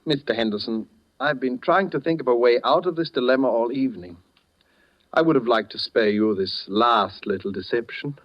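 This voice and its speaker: An actor playing a courteous, cunning master criminal in a 1940s radio thriller, polite and apologetic with silky menace. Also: male voice, mid-range voice, normal speed, natural voice. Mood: regretful menace. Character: suave, courteous, cunning male master criminal posing as director. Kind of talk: 1940s radio suspense acting. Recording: dull, lo-fi 1940s radio broadcast.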